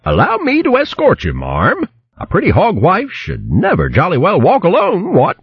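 A jolly, plummy old hare gentleman, as a male reader puts him on, high and bouncing, with a husky edge. The recording is dry and slightly muffled. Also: huge pitch swings, moderate pace, dry recording, heavily acted. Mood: gallant. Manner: plummy, says "what, what".

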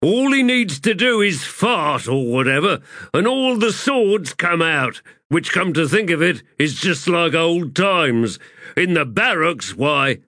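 A man's voice: fairly high pitch, sing-song, takes it slowly, sharp tone, dry recording, clean studio sound, pitched above the performer's own voice, exaggerated voice. A male narrator voicing an odd boy who muses in an offhand way. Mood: musing. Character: odd calm boy with strange wisdom.

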